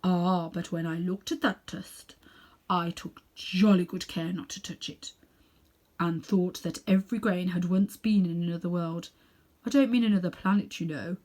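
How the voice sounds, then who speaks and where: A woman's voice: on the low side, fairly even intonation, moderate pace, a little mellow, lightly acted. Woman acting a pompous, emphatic old magician uncle. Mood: awed, proud. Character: sinister, self-important elderly male magician uncle, easily offended.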